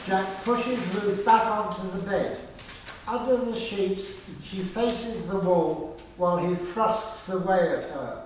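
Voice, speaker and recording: A man's voice: sits high, slow, a little hiss or hum. A man reading scripted narration and stage directions for a radio play. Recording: very echoey room, distant mic.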